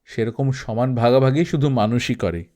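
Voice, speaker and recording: A man's voice: medium pitch, moderate pace, mellow, close to an everyday voice. A man voicing a grave, wry old male sage addressing children.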